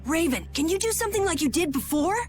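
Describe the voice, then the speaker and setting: A woman's voice: very high voice, animated, quick pace, soft background music. An actress playing an eager, easily rattled young boy adventurer in a video game. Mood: puzzled. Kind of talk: animated video game acting.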